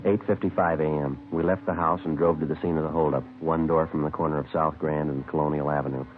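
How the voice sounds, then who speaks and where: An actor playing a terse, deadpan American police detective in a 1950s radio drama, with matter-of-fact case narration. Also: male voice, extremely low pitch, quick pace, soft background music. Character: low-voiced, matter-of-fact police detective, dryly skeptical. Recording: tinny, band-limited vintage radio recording.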